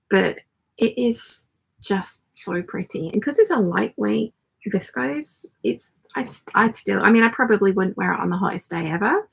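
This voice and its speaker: Female livestream host, very creaky and husky, croaky delivery, loose chatty rambling style. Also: mid-range voice, rough recording. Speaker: host of a live sewing hangout. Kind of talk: casual livestream chat, reading out viewer comments.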